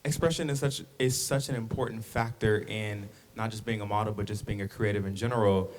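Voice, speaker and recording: A man's voice: on the low side, steady tone, fairly quick, roomy sound. Upbeat male podcast host. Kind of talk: podcast hosting.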